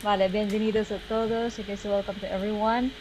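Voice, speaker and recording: A woman's voice: mid-range voice, little pitch movement, fast, crowd noise, low-quality recording. Woman giving an online talk. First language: Tagalog. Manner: explanatory, with frequent okays and ums. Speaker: guest speaker and Spanish teacher. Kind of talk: online presentation.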